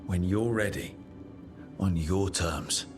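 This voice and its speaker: An actor playing a supportive, reassuring leader of an adventuring team in a video game. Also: male voice, low-pitched, slow pace. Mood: gentle, supportive. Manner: reassuring, encouraging. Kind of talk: video game cutscene acting. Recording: music behind the voice.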